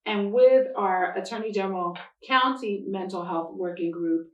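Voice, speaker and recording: A woman county prosecutor giving formal remarks at a public announcement in a measured, deliberate, official way. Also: mid-range voice, highs cut off. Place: church interior. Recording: echoey room, distant microphone.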